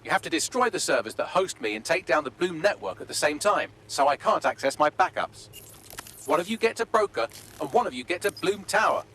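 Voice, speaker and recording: A man's voice: fairly high pitch, lively intonation, fairly quick, creaky, fairly bright tone, a little hiss or hum. A man with a British accent playing a dry, witty artificial intelligence assistant in a video game, precise and formal. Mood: dry, urgent.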